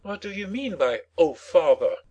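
A man's voice: fairly high pitch, expressive, normal speed, a little mellow, slightly rough, highs cut off. A man playing a blustering, self-pitying father in a dramatized storybook reading, with a theatrical, indignant and emphatic delivery. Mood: defensive.

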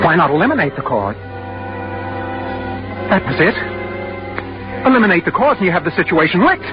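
A man's voice: sits high, full character voice. An actor playing a meek, long-suffering male office clerk turning defiant and unhinged, stammering and agitated in a 1940s radio thriller. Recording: dull old radio recording with music.

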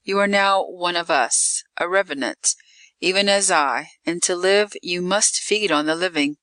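A narrator voicing a calm, cool vampire woman. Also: medium pitch, unhurried, no room sound, low-quality recording. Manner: calm, dry, condescending endearments. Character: calm, worldly female vampire, coolly possessive.

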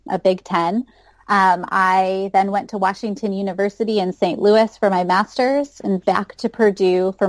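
A woman's voice: medium pitch, darkish tone, no room sound. An American woman in her thirties giving casual answers as an interview guest. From United States, north of Chicago. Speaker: guest, psychology professor.

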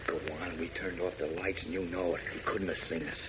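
Man as excitable slangy sidekick flyer, lowish voice, noisy old radio transcription, 1940s radio play. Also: natural voice. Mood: suspicious. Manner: folksy slang, quick to question. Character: excitable rough-spoken male sidekick pilot. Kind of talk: 1940s radio drama acting.

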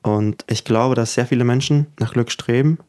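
A man's voice: medium pitch, moderate pace, a little mellow. A young man in his twenties, from Ukraine, talking reflectively and earnestly as an interview guest, laughing easily between thoughts. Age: 20s. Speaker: guest musician. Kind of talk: casual interview talk.

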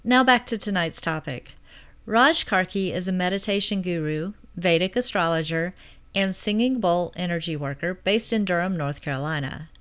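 A woman's voice: lowish voice, normal speed, fairly bright tone, clear, resonant tone. A woman hosting a podcast, reading an upbeat intro and asking friendly interview questions. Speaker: podcast host.

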